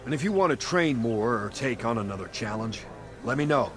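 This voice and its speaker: Actor as a hearty, animated pit-fight trainer. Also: male voice, medium pitch, fairly quick, noisy background, natural voice. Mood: encouraging. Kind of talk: video game dialogue.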